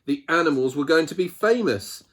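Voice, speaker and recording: A man reading a picture book aloud to children, expressively, with dramatic pauses and emphasis. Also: a little high, lively intonation, a bit bright. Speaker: children's storyteller.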